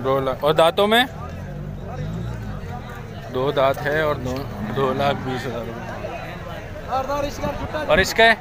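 A man vlogging at an outdoor cattle market, briskly asking sellers prices and repeating them back. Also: mid-range voice, animated, crowd noise. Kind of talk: casual on-the-spot questioning. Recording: crowded outdoor market noise.

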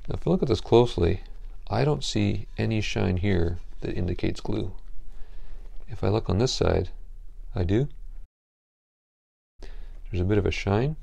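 A man in his forties talks through a wooden furniture repair in a calm, step-by-step way, as if showing each move while he works. His voice sits low and carries a gravelly fry. He is recorded in a home wood shop on a small clip-on mic. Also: measured pace, long pauses. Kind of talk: hands-on repair tutorial.

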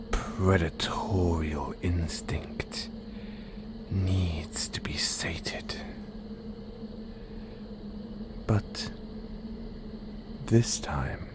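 Slow, whispering predatory werewolf. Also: male voice, sits low. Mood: hungry, teasing. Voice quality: breathy whisper. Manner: slow, menacing, seductive. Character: predatory, possessive male werewolf stalking his chosen mate. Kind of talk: intimate audio roleplay.

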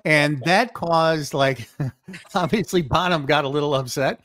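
Middle-aged male podcast host, a touch higher than usual, easygoing and conversational, close-miked. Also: measured pace, a bit bright, no echo. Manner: easygoing, frequent short backchannels. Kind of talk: casual interview chat. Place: home room with posters, video call. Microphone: studio mic on a boom, close.

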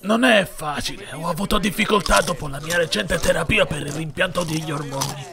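A man voicing a bitter, world-weary middle-aged cartoon wife who grumbles in a deadpan, creaky way, over music. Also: on the high side, brisk, crowd noise. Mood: bitter complaining. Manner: grumbling, deadpan, foul-mouthed. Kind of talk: mock-documentary cartoon confession, Italian dub parody.

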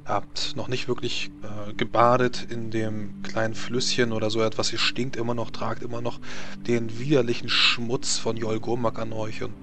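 A man's voice: medium pitch, level tone, moderate pace, music in the background. A man narrating a tabletop roleplaying game as game master. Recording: clear background noise.

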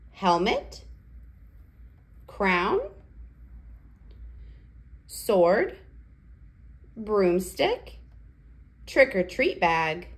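A woman hosting a read-aloud for toddlers, speaking in a deliberate, sing-song way with simple phrasing. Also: mid-range voice. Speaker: children's read-aloud host.

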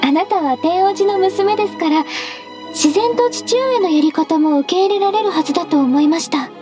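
A gentle secretary from an anime-style game. Her voice is very high and soft. She is measured, formal and politely firm, over clearly audible background music. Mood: gentle explaining. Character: gentle, composed half-beast female secretary, politely firm.

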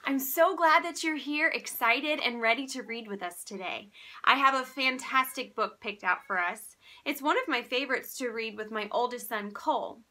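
A woman's voice: fairly high pitch, normal speed. A woman in her thirties hosting a children's read-aloud, speaking expressively to young kids as she presents and reads picture books. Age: 30s. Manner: animated, exaggerated expression for kids.

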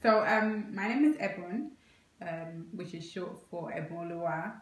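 A relaxed woman in her twenties from Nigeria, chatting casually to camera as co-host of a vlog, with lots of "you know" and "like". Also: on the low side, measured pace. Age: 20s. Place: indoor room with plain wall. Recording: roomy echo.

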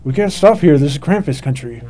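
Mellow, rambling man giving a commentary. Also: on the high side, lively intonation, brisk. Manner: rambling, filler-heavy, with quaintly formal phrasing. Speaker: puppeteer and co-host of a commentary track. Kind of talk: relaxed behind-the-scenes commentary. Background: faint background music.

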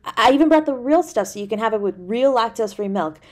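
A woman's voice: on the high side, animated, darkish tone, natural voice. A woman playing a tender, easily flustered young girlfriend in an intimate audio roleplay, speaking in a hesitant, stammering way. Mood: attentive, nervous. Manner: hesitant, stammering, halting delivery.

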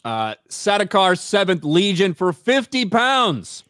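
A man co-hosting a livestream, reading donation messages aloud with a flat, wry delivery. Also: high voice, slow, no room sound, clean studio sound. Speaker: co-host reading super chats. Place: home streaming room. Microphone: studio mic, webcam.

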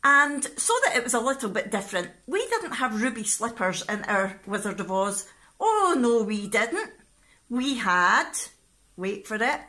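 A woman's voice: on the high side, lively intonation, unhurried, bright, forceful tone. A middle-aged woman with a Scottish accent, chatting informally to camera as a vlogger in her living room. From Scotland. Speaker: vlogger and pantomime scriptwriter. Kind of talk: casual vlog talk to camera. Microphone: phone at arm's length.

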